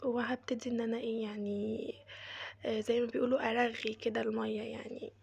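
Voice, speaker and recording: An Egyptian woman with a cold guides viewers through a casual home tutorial. Her voice is very breathy and sits a little high. She speaks in a soft, mostly whispered tone, with a narrow, even melody and friendly asides. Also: quick pace. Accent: Egyptian Arabic. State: has a cold, voice changed. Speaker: crochet maker demonstrating cleaning.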